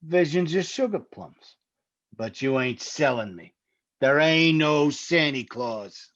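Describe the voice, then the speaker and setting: A man playing a gruff, slangy, grudging skeptic in a 1950s radio comedy style. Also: a little high, animated, takes it slowly, fairly bright tone, lo-fi sound. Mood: dismissive. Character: gruff, skeptical grown man who doubts Santa.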